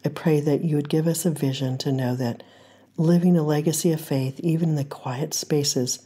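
An older woman hosting a devotional podcast, speaking in a hoarse voice, gentle and earnest, with frequent pauses as she teaches and prays. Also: low voice. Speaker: podcast host. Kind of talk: devotional talk and prayer.

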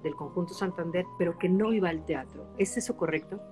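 A woman hosting an online conversation, talking casually and apologizing with a lively, chatty manner. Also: lowish voice, fairly quick, faint music behind. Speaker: interviewer host. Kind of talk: casual live-stream conversation. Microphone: online live-stream.

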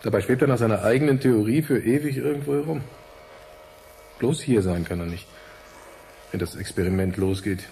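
A deep, mellow, pensive scientist. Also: male voice, creaky, slight background noise. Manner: reflective, gentle, measured. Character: pensive, fatherly male research scientist. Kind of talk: German radio drama acting.

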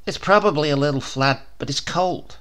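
A man's voice: fairly high pitch, normal speed, a bit bright, clear, resonant tone, natural voice. A man voicing a tired, fretful housewife in a dramatised story reading, complaining in a resigned way.

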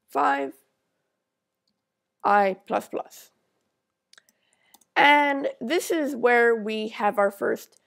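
Slow, gravelly woman with a stuffy nose. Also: on the high side. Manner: talks slowly while typing code, thinking aloud. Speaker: tutorial maker. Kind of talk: screencast coding tutorial.

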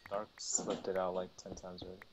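Low-voiced young man, giggly. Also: monotone, a little fast, slight background noise. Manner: giggly, teasing. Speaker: gamer in a group commentary. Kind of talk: casual gaming chat with friends.

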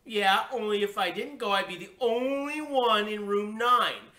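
Woman playing a whiny, indignant little girl, high voice. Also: measured pace, sharp tone, reverberant. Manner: childish grammar, snappy pouting.

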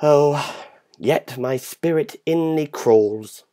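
A man giving a dramatic, measured recitation of a poem in a theatrical manner. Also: fairly high pitch, warm tone. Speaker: poetry reader.

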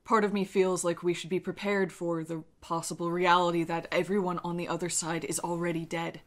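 A woman game master voicing a guarded, weary man who speaks in measured, formal phrases, in a tabletop game. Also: fairly low pitch, flat delivery, natural voice. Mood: grave, resigned. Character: guarded, weary man, impatient refugee leader with a wife.

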